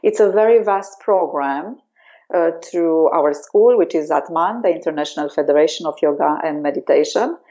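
A woman with a Romanian accent speaks in a low-ish, mellow voice, pausing often with fillers as she explains. The sound is dull and lo-fi, like call audio. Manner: hesitant, many fillers. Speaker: yoga teacher. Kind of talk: remote interview.

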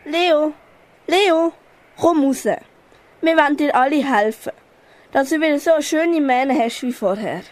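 A woman's voice: high voice, slow, long pauses, slight background noise. A teenage girl with a Swiss German accent playing a loyal, helpful elephant in an amateur radio play. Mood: encouraging.

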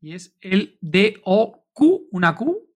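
A man co-hosting a casual podcast interview, chatty and enthusiastic, quick with praise. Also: high voice, sing-song. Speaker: podcast co-host.

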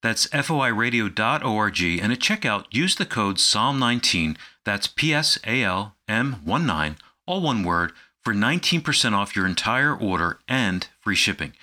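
A man's voice: fairly low pitch, big pitch swings, normal speed, hardly any pauses, bright, forceful tone. A man reading a scripted promotional announcement as a radio announcer, carefully spelling out details for listeners.

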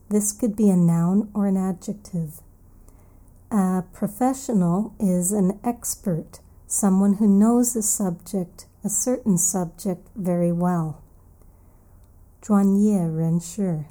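A woman hosting an English lesson, recapping a story and then explaining vocabulary words slowly and carefully, repeating each key word. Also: mid-range voice, mellow. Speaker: English teacher and podcast host.

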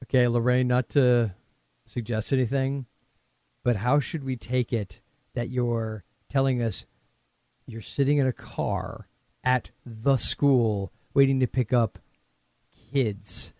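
A man's voice: medium pitch, fairly even intonation, takes it slowly, warm tone, no room sound. A man hosting a podcast, rambling and joking in casual chat. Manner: rambling and jokey, with many restarts. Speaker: podcast host.

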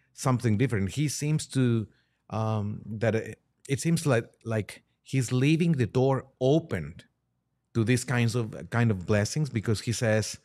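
A man reading quotes aloud and commenting on them as a podcast guest, with halting, deliberate phrasing. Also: mid-range voice, darkish tone.

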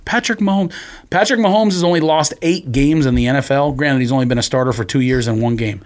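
Guy cohosting a podcast, medium pitch, arguing about sports and explaining his take. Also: fast. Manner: argumentative, explanatory. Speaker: podcast co-host. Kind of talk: casual sports debate.

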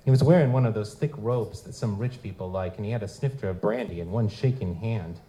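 An actor playing a world-weary, cynical private investigator in a live noir audio drama, wry and slangy in manner. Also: male voice, on the low side, brisk, warm tone, lightly acted, low-quality recording. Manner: wry, slangy, hard-boiled. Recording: live convention performance, clear background noise.

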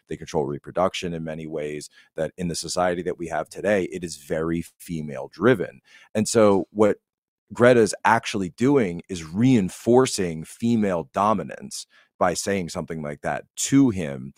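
A man with a deep voice talks in a dry, close-sounding recording. He rambles in a casual, opinionated podcast style, telling stories with animation and acting out what people said. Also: dry recording.

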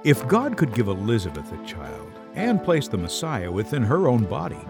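A man's voice: medium pitch, huge pitch swings, moderate pace, gravelly, music in the background. A man narrating a scripted Bible story in a dramatic storytelling style.

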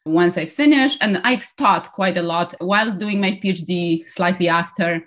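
Woman with a low voice. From Poland. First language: Polish. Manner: measured, thoughtful, explanatory. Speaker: guest energy policy researcher. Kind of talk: interview answers.

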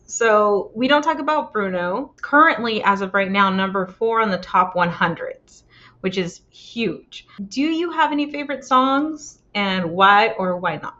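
A woman's voice: fairly high pitch, bright, forceful tone. A woman hosting a podcast who keeps the talk moving with questions. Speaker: podcast host. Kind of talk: casual podcast chat. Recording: dull lo-fi audio.

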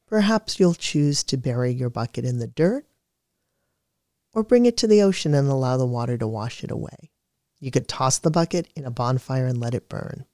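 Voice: medium pitch, no room sound. Dry, close guided meditation narrator. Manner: calm, measured, soothing delivery.

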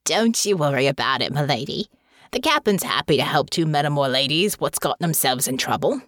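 Woman voicing a soft-spoken country crewman. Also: low-pitched, lively intonation, a little fast, no room sound, clean studio sound. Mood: friendly. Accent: rural country dialect. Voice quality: soft, homey drawl. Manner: plainspoken, folksy grammar. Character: humble young male airship crewman, homey and wistful. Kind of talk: audiobook character voice.

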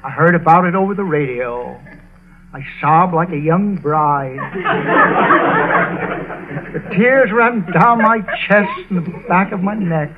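A man's voice: sits high, lively intonation, takes it slowly, pauses often, phone-quality sound. An actor playing a sentimental, easily overwrought man in an old-time radio comedy, with theatrical mock-tearful delivery. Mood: mock grief. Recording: noisy, band-limited old radio recording.

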